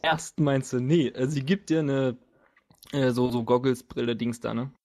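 A man with a medium-pitched voice talks in a loose, colloquial way at a role-playing game. He jokes as he goes and speaks like someone among friends around the table. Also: level tone, moderate pace, darkish tone. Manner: colloquial, joking.

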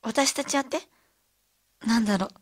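A woman playing a hesitant, worried high school girl in a radio drama. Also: normal speed, husky. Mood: puzzled, worried.

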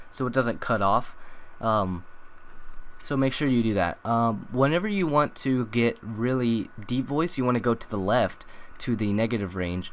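A young man talking through a software tutorial in a loose, rambling way, full of ums and uhs. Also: on the low side. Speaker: tutorial maker. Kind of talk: casual screen-recorded tutorial.

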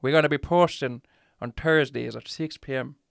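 A man co-hosting a casual podcast, teasing his friend and leaning into words for effect. His voice sits at an ordinary middle pitch. It is recorded dry and close, with no room sound. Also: steady tone, gravelly, dry recording. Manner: playful, teasing, drawn-out emphatic delivery. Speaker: podcast co-host. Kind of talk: casual chat.

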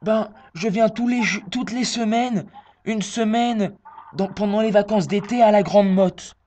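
A man's voice: high voice, steady tone, a little slow, a little mellow. A man playing a confused, stubborn elderly customer, hesitant and naive, in a prank phone call. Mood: naive, puzzled. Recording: phone line with noise.

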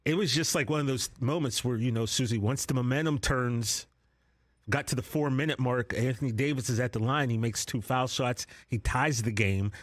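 A man's voice: mid-range voice, moderate pace, no room sound, studio quality. A man on a sports talk show speaking earnestly and personally, building to a play-by-play recap. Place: studio set. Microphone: desk broadcast mic.

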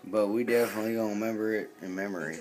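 A drunk young man joking and rambling rowdily while filming a late-night party in a small home bar room, swinging between shouts and mumbles. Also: on the low side, rapid-fire, over music. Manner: rowdy, slurring, joking, often shouting or mumbling.

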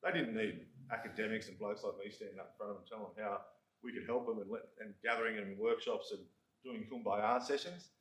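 A middle-aged man with an Australian accent gives a blunt, candid, self-deprecating talk to a seminar audience, speaking with a loud voice. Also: on the low side, steady tone, fast. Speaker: community recovery worker. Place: university seminar room. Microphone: far room camera. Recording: echoey room from far off.

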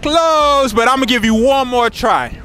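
High, bright-voiced man hosting street trivia. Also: unhurried, soft background music. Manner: playful, slangy, laughs often. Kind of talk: casual hype street hosting. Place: outdoors on a city street.

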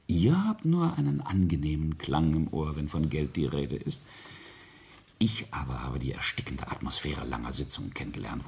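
A ruthless older tycoon in a radio play, with a very low, gravel-filled voice. His intonation swings widely as he speaks in a deliberate, imperious way, coldly laying down the truth to his family. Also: slight background noise, full character voice. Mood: proud, hard. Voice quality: gravelly, husky. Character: ruthless, boastful patriarch and self-made industrialist, coldly confessing. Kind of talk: German radio play acting.

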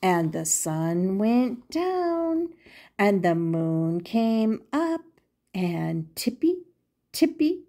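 A woman's voice: mid-range voice, big pitch swings, drawn-out words, mellow. An older woman, a grandmother, reading a children's picture book aloud expressively and putting questions to her young listeners. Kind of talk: animated children's storybook read-aloud.

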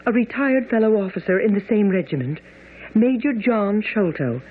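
Actress as an animated, earnest Victorian gentlewoman. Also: mid-range voice, normal speed, slight background noise, lightly acted. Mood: earnest, troubled. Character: earnest, puzzled young Victorian gentlewoman seeking help. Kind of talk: radio drama acting. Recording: tinny, phone-like old radio broadcast.

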